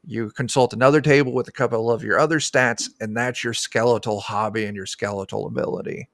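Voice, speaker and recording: Gravelly man explaining casually, dry sound. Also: medium pitch, fairly even intonation, fairly quick, fairly bright tone, no echo. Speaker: game designer guest. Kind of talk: casual interview chat.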